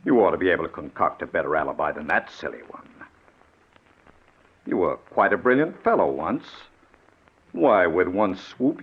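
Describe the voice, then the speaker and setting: Bitter ex-con out for revenge, really low voice, cold and threatening, muffled old radio drama. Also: male voice. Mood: sardonic menace. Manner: sardonic, deliberate, threatening. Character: vengeful ex-convict, bitter, coldly menacing widower. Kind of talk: 1940s radio suspense acting.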